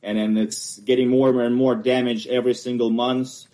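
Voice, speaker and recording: A man from Ukraine with a Ukrainian accent, English not his first language, haltingly explaining his property appeal at a hearing. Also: mid-range voice, little pitch movement, mellow. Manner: halting, searching for words. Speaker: homeowner appealing a property assessment.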